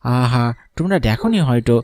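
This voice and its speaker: A man's voice: mid-range voice, sing-song, brisk, darkish tone, a little hiss or hum, natural voice. A man voicing a coaxing, evasive village school peon in a horror cartoon. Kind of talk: dubbed horror cartoon dialogue. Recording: music under the voice.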